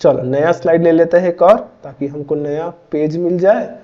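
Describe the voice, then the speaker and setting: Young male maths tutor, high-pitched, warm mellow tone, chatty, asks himself questions, dull lo-fi audio. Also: steady tone, moderate pace. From Chhattisgarh, India. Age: 20s. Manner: chatty, keeps asking and answering his own questions, says "bhai" often. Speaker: maths teacher. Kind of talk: casual tutorial explaining board exam maths.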